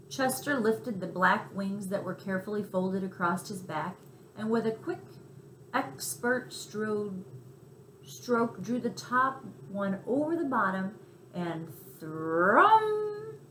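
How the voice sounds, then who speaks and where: Animated woman reading a children's story. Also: medium pitch. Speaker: storybook reader. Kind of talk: expressive read-aloud narration for children. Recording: roomy echo, faint background noise.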